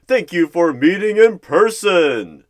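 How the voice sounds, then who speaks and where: Man playing an eager, awkward recruiter, swooping pitch. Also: expressive, slow pace. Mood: polite, upbeat. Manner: theatrical, announcer-like bursts, stilted third-person self-narration. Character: eager, awkward male recruiter narrating himself in third person. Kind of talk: ASMR roleplay of a job interview.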